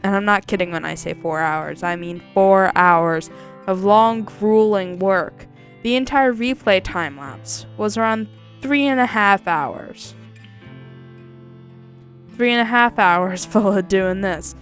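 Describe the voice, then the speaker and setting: A woman hosting her gaming channel, talking casually over a time lapse with a slightly scratchy voice and a relaxed, rambling manner full of ums. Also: medium pitch, slow, soft background music.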